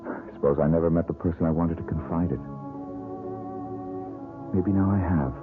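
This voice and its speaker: A gloomy, haunted painter, voiced by a man in a vintage radio play, bitter and self-mocking as he broods over his nightmare. His voice sits extremely deep. It comes through a thin, tinny old broadcast with faint music under it. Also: normal speed, lightly acted. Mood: brooding. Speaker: struggling painter. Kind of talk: 1970s radio drama acting.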